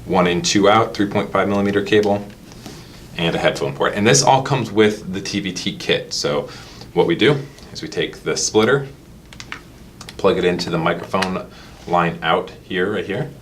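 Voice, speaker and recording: A man's voice: sits low, moderate pace, a little hiss or hum. A man giving a casual instructional product tutorial, explaining things step by step. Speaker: product demonstrator.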